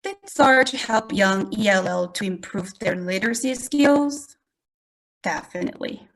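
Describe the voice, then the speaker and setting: A woman with a Korean accent gives a prepared online presentation. Her voice sits at a middle pitch for a woman. She speaks deliberately, like an educator, and addresses her listeners directly. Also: fairly bright tone. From South Korea. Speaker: presenter, English language educator. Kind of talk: webinar presentation, prepared talk.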